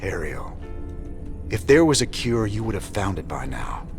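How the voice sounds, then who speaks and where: A man playing a weary, principled space rebel commander in a video game, with a folksy American drawl and gruff delivery. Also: lowish voice, very animated, a little slow, faint music behind. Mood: grave, resolute. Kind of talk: video game cutscene acting.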